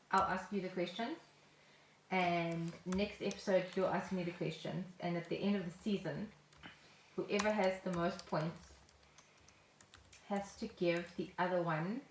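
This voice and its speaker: A woman with a South African accent chatting casually and playfully as a podcast co-host. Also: medium pitch. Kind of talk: casual chat. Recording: roomy echo with faint noise.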